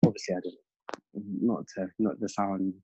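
A man's voice: sits low, little pitch movement, unhurried, mellow, breathy edge, no room sound. A young man from London with an English accent, a podcast guest reflecting earnestly in casual conversation. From London, England. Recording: dull lo-fi dry recording.

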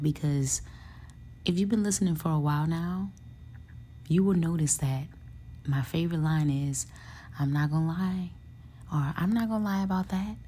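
A young woman hosting her podcast from a hotel room, hoarse with a soft raspy voice, chatting casually and laughing now and then. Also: low voice. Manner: relaxed and chatty, laughs often, slangy asides. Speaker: podcast host. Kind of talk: casual solo podcast chat.

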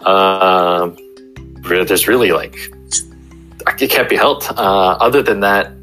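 Bright-voiced, low-pitched man explaining chiropractic. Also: slow, soft background music, rough recording. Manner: explains with everyday examples, many fillers and restarts. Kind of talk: casual interview talk.

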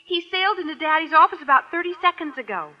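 A woman's voice: very high-pitched, fast talker. An actress playing a sweet, sensible American teenage schoolgirl, girlish and earnest, in an old radio comedy. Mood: chatty. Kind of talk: 1940s-50s American radio comedy acting. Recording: muffled old-time radio recording.

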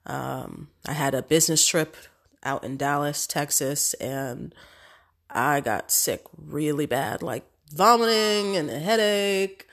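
A woman's voice: low-pitched, slow. A woman hosting a casual solo podcast, congested from a cold, chatty and affirming with many fillers. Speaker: podcast host.

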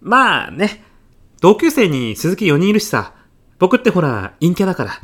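A man's voice: high voice, huge pitch swings, normal speed, a bit bright, full character voice. A man playing a mild, self-effacing classmate in his thirties with a sly salesman's streak, speaking politely in a comedy radio drama. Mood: apologetic, concerned. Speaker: actor in an audio comedy sketch.

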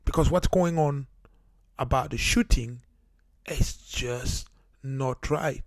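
Earnest gravelly-voiced man hosting a talk show. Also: mid-range voice, slow pace, dry recording. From Cameroon. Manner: earnest, repetitive, many fillers and restarts. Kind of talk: solo podcast monologue. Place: United Kingdom.

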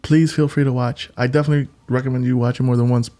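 A man co-hosting a casual movie podcast, animated and playful, often jumping in over his partner. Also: mid-range voice, quick pace. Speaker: podcast co-host.

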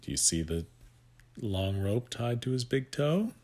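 A man reading a picture book aloud to young children, with gentle asides that guide the listener. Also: medium pitch, big pitch swings, a little slow.

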